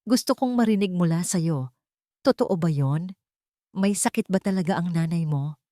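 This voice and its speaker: A woman's voice: lowish voice, moderate pace, warm tone, no echo, clean studio sound, close to an everyday voice. A woman voicing a cold, betrayed billionaire man in a story, controlled and accusing. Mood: cold anger.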